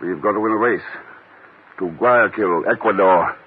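An actor playing a composed, suave secret agent in a 1950s radio drama, calm and clipped, on a muffled, noisy old broadcast. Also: male voice, low-pitched, moderate pace, natural voice. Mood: brisk, firm.

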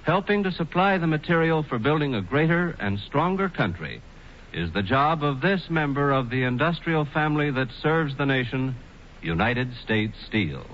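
A man reading scripted sponsor narration as a 1940s radio spokesman, with measured, emphatic phrasing. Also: mid-range voice, very animated. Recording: muffled, noisy 1940s radio broadcast.

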